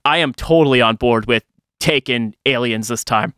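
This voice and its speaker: A man in his early thirties chatting casually as a podcast host, animated and self-deprecating. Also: medium pitch, normal speed, crowd noise. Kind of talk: casual group chat.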